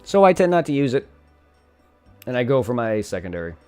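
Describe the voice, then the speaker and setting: A man's voice: mid-range voice, sing-song, fairly quick, mellow, smooth, faint music behind. A young man chatting casually on a live game stream, rambling and thanking his viewers. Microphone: desk condenser microphone.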